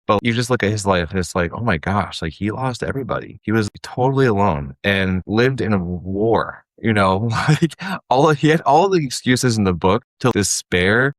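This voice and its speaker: A man with a low voice talks as an interview guest. He is earnest and conversational, and the sound is dry and close. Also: animated, normal speed, no room sound. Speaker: podcast guest, audio drama creator.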